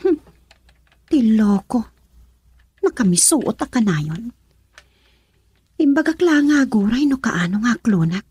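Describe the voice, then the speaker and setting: An actress playing a young woman in love in a radio drama, voicing her thoughts in a breathy half-whisper. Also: fairly high pitch, mellow, no room sound, full character voice. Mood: nervous.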